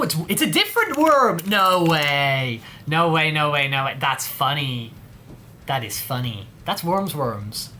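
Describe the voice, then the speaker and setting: English man, bright forceful voice, rambling casual livestream chat, slangy, some background noise. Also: medium pitch. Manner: rambling, heavy on slang like dude and bro. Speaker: livestream host.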